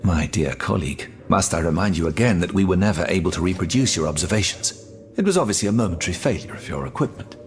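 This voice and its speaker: An actor playing a haughty, dismissive scholar of old France in a video game, pompous and formal in delivery. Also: male voice, low voice, expressive, fast talker, a little hiss or hum. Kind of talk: video game cutscene dramatic acting.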